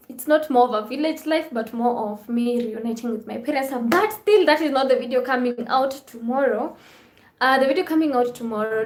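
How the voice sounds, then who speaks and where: A chatty, upbeat woman hosts a live stream, reading viewer comments aloud and thanking them again and again. Her voice sits a little higher than most women's. The room around her gives back a clear echo. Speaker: vlogger hosting a live stream.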